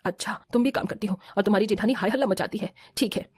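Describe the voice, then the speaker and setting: A woman's voice: mid-range voice, fast, darkish tone, breathy edge, close to an everyday voice. A woman playing a stern, righteous mother-in-law in a cartoon family drama, scolding and lecturing in a firm manner.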